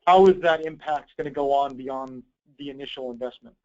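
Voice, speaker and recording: A man's voice: mid-range voice, brisk. A man hosting a conference call in an informal, obliging way, explaining things and taking questions over a muffled line. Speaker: host, grant program coordinator.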